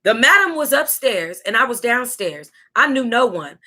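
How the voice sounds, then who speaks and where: An American woman reading a memoir aloud as a book club host, with sassy, exclamatory asides and drawn-out emphasis. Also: a little high, very animated, background noise.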